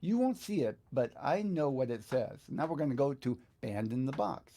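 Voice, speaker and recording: A middle-aged man talks through a screen tutorial step by step. He sounds like an instructor chatting informally, thinking aloud as he goes. His voice sits at an average pitch for a man. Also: lively intonation, moderate pace, vocal fry, dark tone. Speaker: guitar instructor, video host.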